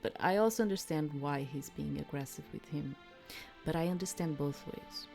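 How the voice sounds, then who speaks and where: A woman giving casual, rambling gameplay commentary, thinking aloud with hesitant pauses and lots of filler words. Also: low voice, gravelly, a little mellow, faint music behind. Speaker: game streamer, let's player.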